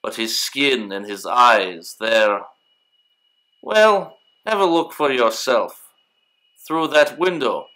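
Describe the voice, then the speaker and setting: An actor playing a brusque, cryptic Russian military hospital medic in an audio drama, speaking in a formal, measured, clipped way. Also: male voice, medium pitch, animated, bright, forceful tone, poor audio. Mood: grave, measured.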